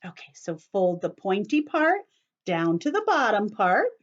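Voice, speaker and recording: A woman's voice: medium pitch, big pitch swings, unhurried, muffled recording. A woman giving young children a step-by-step craft lesson, explaining patiently in simple words with a sing-song delivery and frequent check-in questions, recorded on a computer microphone. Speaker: children's teacher hosting an online story time. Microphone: computer's built-in camera and microphone.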